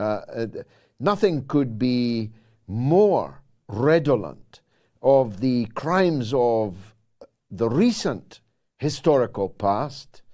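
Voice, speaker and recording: A man's voice: medium pitch, darkish tone. A man in his sixties from Britain hosting a political commentary show, speaking in a declamatory, orotund way that rises to indignation. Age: 60s. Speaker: host.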